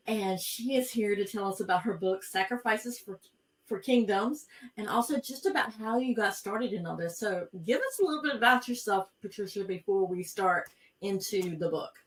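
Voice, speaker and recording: A woman's voice: medium pitch, lively intonation, moderate pace. A woman hosting a podcast interview in a friendly, chatty way. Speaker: podcast host. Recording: roomy, distant from mic.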